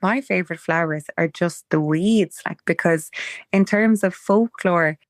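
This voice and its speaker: A woman's voice: lowish voice, unhurried, no echo, studio quality. A young adult Irish woman, a wildflower guide, chatting enthusiastically in an interview with lots of "like". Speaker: guest, wildflower walk guide. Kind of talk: conversational interview. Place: island home.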